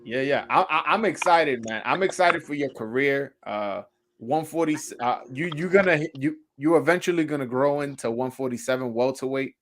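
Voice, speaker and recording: A man's voice: mid-range voice, normal speed, a bit bright, slight background noise. A young man hosting a casual live-stream interview, joking loosely, stammering and repeating words, switching into Spanish to translate for his guest. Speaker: host.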